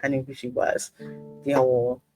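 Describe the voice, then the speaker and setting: A woman's voice: extremely low pitch, little pitch movement, moderate pace, a little mellow, faint music behind, rough recording. A woman in casual, informal chat as a gaming co-host, with little self-mocking asides. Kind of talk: casual chat reacting to a game.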